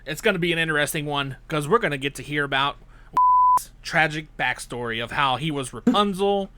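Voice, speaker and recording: A man hosting a casual podcast chat, animated and laughing as he steers the conversation. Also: fairly high pitch, fairly quick, noisy background. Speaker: podcast host.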